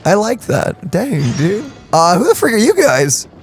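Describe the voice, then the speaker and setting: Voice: mid-range voice, huge pitch swings, a little slow, soft background music. A let's-play host giving casual, jokey commentary, laughing often and breaking into exclamations.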